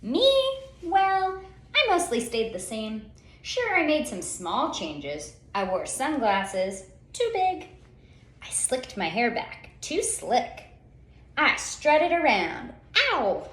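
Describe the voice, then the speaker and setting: Animated, high-pitched woman reading a picture book. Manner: theatrical, with dramatic pauses and drawn-out words. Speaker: children's read-aloud storyteller. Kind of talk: expressive picture-book storytelling. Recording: roomy, echoey sound.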